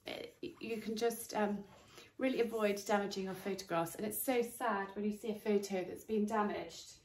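A woman's voice: mid-range voice, steady tone, moderate pace, a little mellow. A woman in her forties chatting through a cleaning demonstration at home, using lots of filler words while she works. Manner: friendly how-to chat with filler words, working as she talks. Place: sunlit garden room in a home. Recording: echoey room with faint noise.

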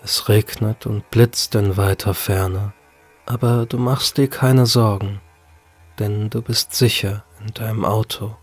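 A man's voice: on the low side, steady tone, takes it slowly, breathy edge. A man reading a scripted horror story as an audiobook narrator. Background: music.

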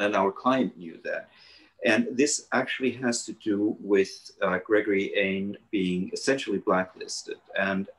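A man, a guest architect speaking haltingly over a video call from a living room. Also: lowish voice, a bit bright, low-quality recording. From Switzerland. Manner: halting, many hesitations and restarts. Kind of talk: panel conversation.

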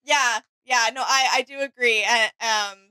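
Bright-voiced young woman, casual co-host. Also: a little high, steady tone, measured pace, smooth, poor audio. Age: young adult. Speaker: reaction video co-host. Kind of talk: casual reaction chat. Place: small indoor room with gaming setup.

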